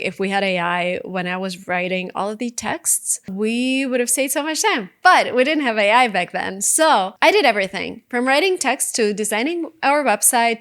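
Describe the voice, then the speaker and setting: A woman's voice: a little high, a bit bright. A woman, giving casual, emphatic, conversational advice as a video creator. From Russia. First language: Russian. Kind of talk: casual talking-head advice vlog.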